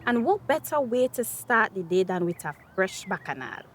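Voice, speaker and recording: An actress playing a chatty, cheeky teenage daughter in a radio drama, with a Tobagonian accent and animated dialect speech. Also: female voice, mid-range voice, moderate pace, a little hiss or hum, lightly acted. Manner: animated, dialect-rich gossiping.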